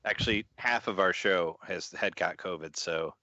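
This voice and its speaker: A man's voice: deep voice, normal speed, pushed and bright, dry recording, dull audio. A man chatting as a podcast guest, repeating words as he talks, his voice just getting over an illness. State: recovering from an illness, voice mostly back. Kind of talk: casual podcast chat.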